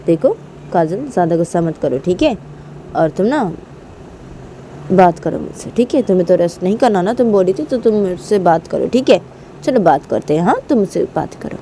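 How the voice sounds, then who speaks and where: A woman voicing a calm, obedient young woman in a told story, coaxing and placating with soothing requests. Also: lowish voice, moderate pace, slight background noise.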